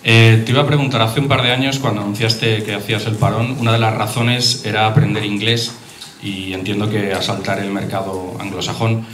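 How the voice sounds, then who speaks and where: A man's voice: on the low side, flat delivery, fairly quick, fairly bright tone, slight background noise. A male journalist asking a direct question from the floor of a press conference. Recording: roomy hall, faint ambience.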